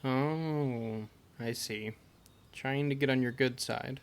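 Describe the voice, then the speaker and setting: Slow-paced, caring fantasy adventurer played by a man. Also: mid-range voice, clear, resonant tone. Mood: amused, teasing. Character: caring, teasing male adventurer comforting an injured party member. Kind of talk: amateur audio roleplay, conversational acting.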